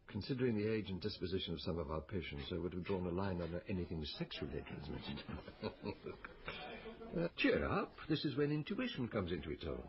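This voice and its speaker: An actor playing a sardonic older doctor in a radio drama, musing and theatrical in manner. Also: male voice, on the low side, muffled recording, a little hiss or hum. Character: wry, sardonic old male doctor detective. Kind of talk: naturalistic radio drama acting.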